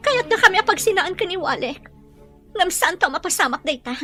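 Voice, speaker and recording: A woman's voice: very high-pitched, expressive, brisk, pushed and bright, faint music behind, exaggerated voice. An actress with a Filipino accent playing a wounded, trusting young woman in love in a radio drama. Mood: pleading.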